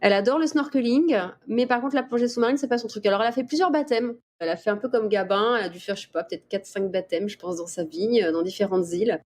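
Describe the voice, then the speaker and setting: A middle-aged woman from the Paris region answering interview questions in a casual, explanatory way with hesitant pauses. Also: mid-range voice, darkish tone. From Paris region, France. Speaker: interview guest, dolphin researcher. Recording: clear background ambient noise.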